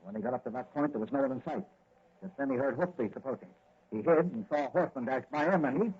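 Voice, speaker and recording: Worried newspaper editor, muffled radio. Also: male voice, mid-range voice, expressive, fast talker, husky. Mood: urgent. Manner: urgent, bossy. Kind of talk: 1940s radio acting. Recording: dull old radio recording.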